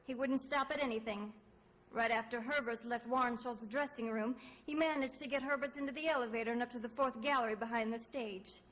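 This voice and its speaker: A woman's voice: fairly high pitch, a bit bright, slight background noise, natural voice. An actress playing a tough, wry female private detective, narrating her case in old-time radio drama style. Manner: hard-boiled, wry, dramatic. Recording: radio drama with music behind.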